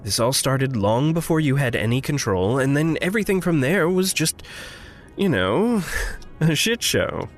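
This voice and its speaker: A man acting in a naturalistic audio drama as a gentle, wry confidant with a halting, playful way of talking. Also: mid-range voice, very animated, faint music behind. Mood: gentle concern.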